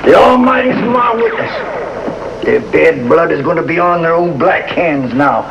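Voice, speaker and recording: A man's voice: fairly high pitch, expressive, a little slow, gravelly. An actor playing a fierce backwoods clansman with a rural Southern American accent, full of folksy bluster, in a 1950s TV drama. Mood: vengeful. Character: fierce, vengeful backwoods feuding Sawyer clansman. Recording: muffled, very noisy 1950s TV soundtrack.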